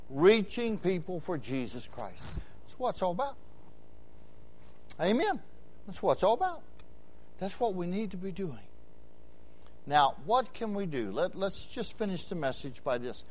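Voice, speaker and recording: Sixties male Baptist preacher, high-pitched, rhythmic repetition, loud emphatic peaks. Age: 60s. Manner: preaching with big swings between quiet asides and loud shouted emphasis; repeats phrases in rhythmic runs. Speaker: Baptist pastor. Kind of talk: sermon.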